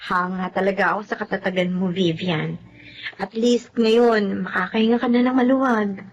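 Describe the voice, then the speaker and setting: Supportive Filipina friend played by an actress, faint noise. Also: female voice, mid-range voice, steady tone, normal speed, close to an everyday voice. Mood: encouraging. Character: supportive, admiring female friend and godmother. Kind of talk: Filipino radio-style melodrama acting.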